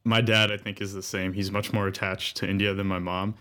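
A man's voice: deep voice, flat delivery, fairly quick, a bit bright. A man hosting a podcast interview, asking questions in an easygoing, joking conversational way. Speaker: podcast host. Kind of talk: casual interview chat.

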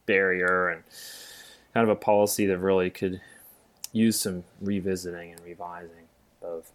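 A man's voice: low voice, level tone, normal speed. A middle-aged man co-hosting a casual podcast discussion, rambling a bit with self-deprecating humor. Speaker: podcast co-host, music theory teacher.

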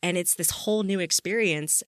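A woman with a slightly low voice hosts a casual interview show. She is effusive and chatty as she talks with her guest. The sound is very dry, with no room echo. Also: normal speed. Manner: enthusiastic, effusive. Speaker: podcast host interviewing a guest.

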